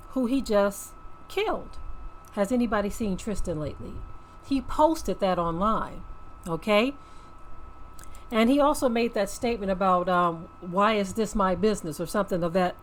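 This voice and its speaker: A woman's voice: mid-range voice, a little hiss or hum. A woman giving earnest, preachy off-the-cuff commentary as host of a religious channel, repeating phrases for emphasis and checking for agreement.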